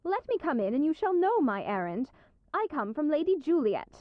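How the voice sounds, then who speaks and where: A woman's voice: high-pitched, sing-song, moderate pace, no echo, lo-fi sound. A woman playing a fussy, woeful nurse in a Shakespearean tragedy, with a wailing, melodramatic delivery. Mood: insistent. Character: fussy, woeful female nurse serving a young lady. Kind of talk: classical stage tragedy in audio.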